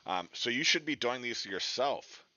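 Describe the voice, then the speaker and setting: A man's voice: medium pitch, expressive, moderate pace, sharp tone, dry recording, highs cut off. A man giving an informal instructional webinar in a direct, conversational way, checking in with short tag questions. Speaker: webinar presenter, digital marketing instructor.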